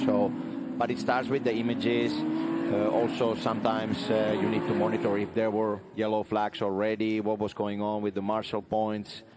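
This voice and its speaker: Flat, low-voiced race control expert man. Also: heavy background noise. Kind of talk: explanatory live commentary.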